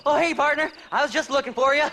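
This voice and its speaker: An actor playing a crazed, greedy American treasure hunter in a western game, manic and rambling with a creaky, sharp voice. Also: male voice, extremely high pitch, steady tone, quick pace, slight background noise. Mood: shifty, addled. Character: crazed, greedy, ragged male treasure hunter and grave robber. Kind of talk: animated western game acting.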